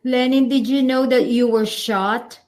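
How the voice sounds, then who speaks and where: Woman, bright high pitch, pleading questioning manner. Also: steady tone, unhurried.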